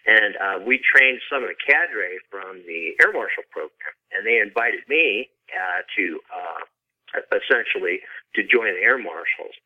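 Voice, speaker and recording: A man's voice: on the low side, expressive, a little slow. A middle-aged man giving casual, rambling interview answers with frequent hesitations, speaking as a guest over a call. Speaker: podcast guest, author and consultant. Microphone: phone or remote call. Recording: narrow, tinny phone-line sound.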